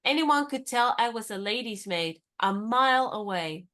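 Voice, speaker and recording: An actress in a radio play playing a spirited young woman disguised as a lady's maid, talking in a brisk, teasing, knowing way. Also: fairly high pitch, lively intonation, fairly bright tone.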